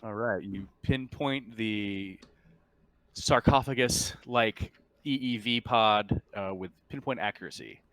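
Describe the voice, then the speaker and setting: Man, game master, lowish clean voice, dry close sound, halting deliberate narration of a tabletop game. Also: a bit bright, no echo.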